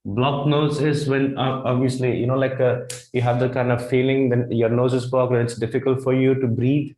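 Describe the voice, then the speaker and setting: A man in his thirties with an Indian English accent, teaching vocabulary at a whiteboard. He repeats phrases slowly and keeps checking in with "right?". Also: mid-range voice, little pitch movement, a little mellow, slight background noise. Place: classroom in front of a whiteboard. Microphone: lapel mic. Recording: echoey classroom.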